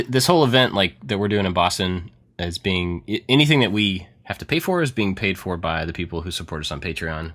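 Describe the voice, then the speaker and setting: A man's voice: deep voice, a bit bright. A man hosting a podcast in casual chat, enthusiastic and self-deprecating, laughing often as he tells stories. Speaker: podcast host.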